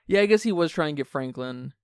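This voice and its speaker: Man, podcast co-host, creaky edge, crisp tone, dry close sound, casual, opinionated, wry. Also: on the high side, expressive, fast, darkish tone, no room sound. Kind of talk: casual film discussion.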